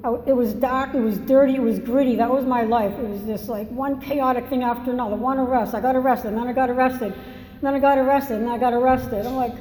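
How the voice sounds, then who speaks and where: A woman's voice: on the high side, steady tone, a little fast, warm tone. An older woman from Massachusetts, with a Massachusetts accent, giving a candid, rambling personal testimony at a recovery meeting, with self-deprecating humor. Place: meeting hall. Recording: echoey hall, faint noise.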